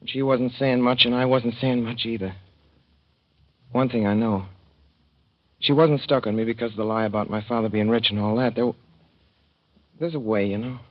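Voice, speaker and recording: A man's voice: mid-range voice, fairly even intonation, moderate pace, long pauses, lightly acted. Man playing a young racetrack hand, folksy, wistful, dull vintage radio recording. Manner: folksy slangy storytelling, wistful. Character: young male racetrack swipe, earnest and lovesick. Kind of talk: 1930s radio drama narration.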